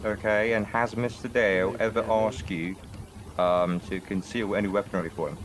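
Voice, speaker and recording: Man playing a low-voiced defense lawyer. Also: little pitch movement, normal speed, background noise. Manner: formal questioning with frequent uhs. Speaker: defense lawyer in a role-play court. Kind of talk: courtroom cross-examination.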